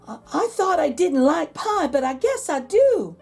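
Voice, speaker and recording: A woman's voice: high-pitched, big pitch swings, measured pace, vocal fry, darkish tone, soft background music, voice pushed up, full character voice. A woman voicing a particular, cautious little girl in a children's storybook, questioning and hesitant. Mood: pleasantly surprised. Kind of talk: children's storybook read-aloud.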